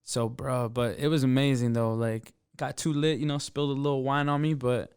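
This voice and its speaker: A young man from the States talks in an easy, slangy way, calling everyone "bro". His voice is smooth and full, and it sits close on a dry studio mic with no room sound at all. He is a guest in a relaxed interview. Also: mid-range voice, moderate pace, no room sound. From United States. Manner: laid-back, slangy, says "bro" often. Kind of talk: casual interview chat.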